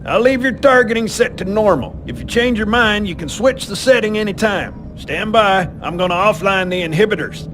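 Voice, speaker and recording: A practical military tech voiced by a man, rather high in pitch, giving casual instructions as in a game tutorial, with music behind. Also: normal speed, few pauses, lightly acted. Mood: businesslike. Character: brisk, practical military technician guiding a soldier. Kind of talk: video game tutorial acting.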